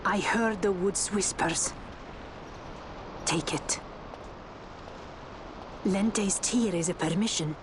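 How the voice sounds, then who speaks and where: A woman's voice: medium pitch, takes it slowly, pauses often, noisy background, natural voice. A woman playing a stern, aloof leader of a forest race in a fantasy game, commanding and terse, with archaic phrasing. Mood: cold, commanding. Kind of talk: dramatic fantasy game acting. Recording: loud orchestral music behind.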